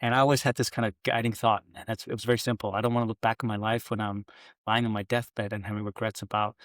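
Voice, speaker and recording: Fast-talking middle-aged man from Denmark. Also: fairly low pitch, little pitch movement, a bit bright, no room sound, studio quality. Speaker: podcast guest, business executive. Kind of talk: casual conversational interview.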